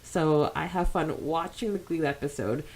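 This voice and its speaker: A woman's voice: low-pitched, normal speed, a little mellow, a little hiss or hum, rough recording. A woman co-hosting a podcast, chatting casually and reading out comments in an informal, giggly way. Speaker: podcast co-host.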